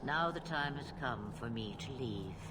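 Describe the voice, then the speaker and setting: A woman playing an ancient, imperious witch in a video game, speaking cryptically in a breathy, husky, theatrical way. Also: extremely low pitch, lively intonation. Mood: portentous. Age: older. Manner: cryptic, dramatic, slow pronouncements. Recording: loud background noise and ambience.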